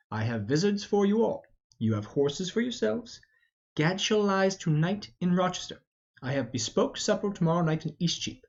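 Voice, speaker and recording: A man voicing a cheeky, scheming young highwayman, jaunty and persuasive, in an amateur Shakespeare reading. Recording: dull, lo-fi.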